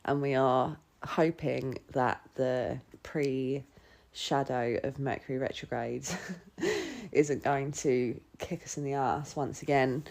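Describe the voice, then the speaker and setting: A woman's voice: a bass voice, lively intonation, slow, a little mellow. A woman hosting a podcast, chatting enthusiastically and casually with plenty of filler words. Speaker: podcast host.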